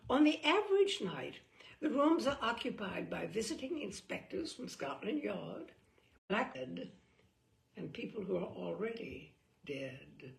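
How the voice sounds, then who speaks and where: A woman reading a humorous book aloud in a theatrical, deadpan way, with dramatic emphasis and pauses. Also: medium pitch, creaky. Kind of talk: theatrical read-aloud of dry comic prose.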